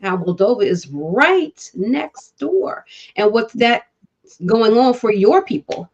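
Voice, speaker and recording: A woman's voice: on the high side, sing-song, slow pace, a little mellow. Woman co-host with a dull lo-fi sound. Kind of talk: casual panel talk. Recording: dull lo-fi audio.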